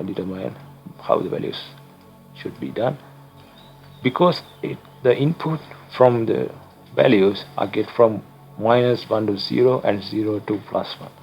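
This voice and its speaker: A young man from Sri Lanka talks through a coding tutorial in English, which is not his first language. His voice sits low and gravelly, and he explains haltingly with frequent fillers and restarts. Faint music plays underneath. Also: muffled recording. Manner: halting, self-correcting explanations with many fillers. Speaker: channel host, tutorial maker. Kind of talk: screen-recorded coding tutorial.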